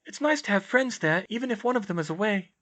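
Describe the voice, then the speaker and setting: A serene, eccentric storybook girl in her teens, put on by a man. The pitch floats very high, and the delivery is sweet and earnestly serious. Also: animated, quick pace, a bit bright. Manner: serene, very serious about odd claims.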